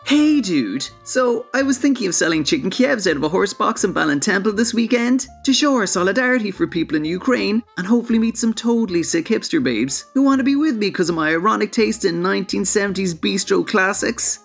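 Smug young hipster dude. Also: male voice, high voice, animated, a little fast, gravelly, few pauses, a bit bright, faint music behind, heavily acted. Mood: smug, earnest. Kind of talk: comic scripted monologue.

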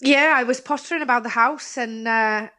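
A woman's voice: fairly high pitch, normal speed, pushed and bright. A woman from Lincolnshire with a Welsh accent, a guest on a radio show, talking casually with plenty of ums. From Lincolnshire, England. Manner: chatty, many ums, laughs easily. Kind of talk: casual phone-in chat.

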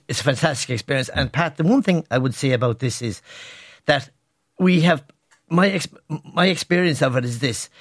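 A man's voice: mid-range voice, dry recording. A man with an Irish accent, a guest in a radio studio interview, speaking earnestly and with emphasis. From Ireland. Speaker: guest performer. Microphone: studio microphone.

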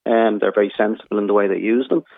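Low-voiced doctor on phone line. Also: male voice, steady tone, quick pace, vocal fry, no room sound. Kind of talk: radio phone-in interview.

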